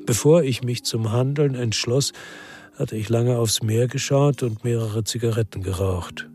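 A man's voice: mid-range voice, measured pace, a little mellow, husky, soft background music. An actor playing a world-weary ex-policeman who narrates a radio crime drama in a brooding, reflective way.

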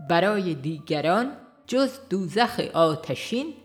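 A woman reading scripted audiobook narration in a measured, formal manner. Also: lowish voice, animated, faint music behind. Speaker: audiobook reader.

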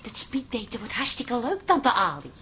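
A woman's voice: a little high, sing-song, normal speed, sharp tone, breathy edge, full character voice. A woman playing a persuasive, encouraging niece in a radio comedy drama. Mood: coaxing, cheerful. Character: cheerful, coaxing niece with opinions on dresses.

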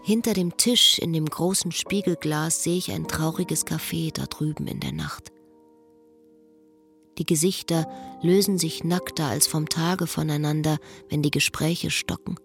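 An actress playing a melancholy, wry woman who narrates and speaks in a literary radio play, measured and terse. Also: deep voice, steady tone, vocal fry, faint music behind. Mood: pensive. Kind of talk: literary radio play, inner monologue and dialogue.

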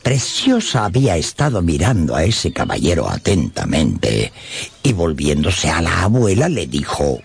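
A man narrating a radio drama in an expressive storytelling style. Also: low-pitched, measured pace, gravelly, slightly rough. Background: music under the narration.

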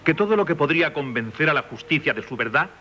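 Bright-voiced, insistent Spanish magistrate. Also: male voice, on the high side, expressive, fast, lo-fi sound. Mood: stern, official. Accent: Castilian Spanish. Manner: formal, probing, insinuating. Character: insistent, formally courteous examining magistrate, male. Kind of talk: 1970s Spanish radio theatre acting.

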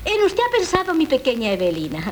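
High-voiced, grand, bossy aunt. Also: female voice, wildly swooping pitch, fast, faint music behind. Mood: grand, gushing. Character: bossy, theatrical middle-aged aunt and matchmaking mother. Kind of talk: 1970s radio theatre comedy acting. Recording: noisy 1970s radio broadcast.

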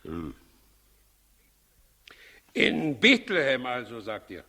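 A man's voice: mid-range voice, huge pitch swings, slow pace, bright, forceful tone, husky, heavily acted. An actor playing an imperious, suspicious and impatient tyrant king in a 1960s radio play, commanding, sarcastic and menacing. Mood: curt. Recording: 1960s radio recording, reverberant hall.

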